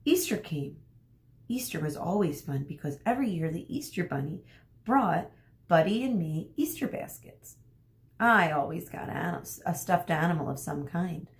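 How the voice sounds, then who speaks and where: Woman, lower-pitched voice, teacher, children's read-aloud, explanatory delivery. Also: expressive, moderate pace. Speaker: elementary school teacher.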